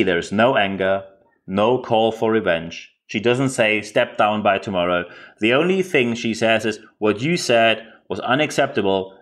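German-accented male podcast host, emphatic delivery. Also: fairly low pitch. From northern Germany. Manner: emphatic, rhetorical questions and repetition. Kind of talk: unscripted solo podcast commentary.

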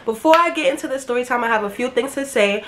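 A woman's voice: fairly high pitch, fast, raised voice, heavy background noise. A young woman from Brooklyn, telling a story in a slangy, swearing manner, sounding nasal and congested from a head cold, on a webcam in a small bedroom. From Brooklyn, New York. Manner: brash, slangy and animated, swearing freely. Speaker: YouTuber. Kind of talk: casual storytime chat to camera.

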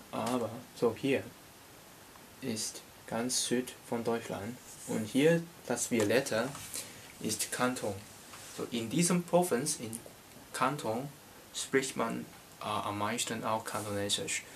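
A man's voice: mid-range voice, noisy background. A young man from Hong Kong, a native Cantonese speaker, giving a casual language lesson in a small bedroom, talking with many hesitations into a camera in front of him. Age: young adult. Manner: slow, halting, many hesitations. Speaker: language teacher. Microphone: camera in front at desk distance.

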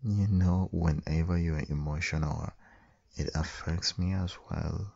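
A man with a gravelly, husky voice doing a soft, intimate boyfriend ASMR roleplay as a loving, doting husband and father. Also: low voice, steady tone, lo-fi sound. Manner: tender, doting, slow, murmured with soft hums.

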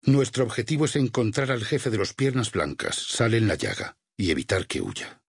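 A battle-hardened, vengeful man of God from a video game, speaking Castilian Spanish. His low voice is extremely raspy and heavy with gravel. He phrases everything solemnly and deliberately, like a stern preacher leading a war. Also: sing-song, no room sound, studio quality, exaggerated voice. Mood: grim, resolute. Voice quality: gravelly, husky rasp. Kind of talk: dubbed video game voice acting.

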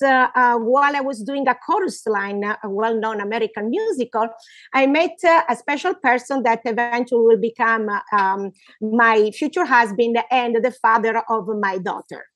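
A woman in her fifties from Italy, speaking English with an Italian accent, telling her story as an interview guest over earbud headphones, lively and expressive. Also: a little high, no room sound. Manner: lively, expressive, laughs often. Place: home room with shelves, video call. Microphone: earbud headset mic.

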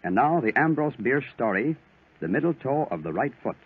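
A man announcing in scripted 1940s radio style, as host and pitchman. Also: very animated, normal speed, husky. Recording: tinny narrow-band old radio recording.

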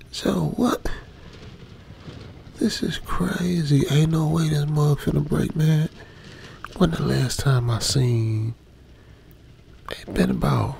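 A man playing a lonesome, uneasy young trucker, muttering colloquially with pauses in an ASMR radio drama. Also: mid-range voice, gravelly, warm tone, slight background noise, heavily acted. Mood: alarmed. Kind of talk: ASMR old-school radio drama monologue.

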